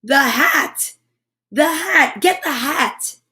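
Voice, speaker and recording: Woman playing an exasperated, high-voiced young schoolboy. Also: expressive, very slow, pushed and bright, full character voice. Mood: excited. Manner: sputtering, pleading, exclamatory.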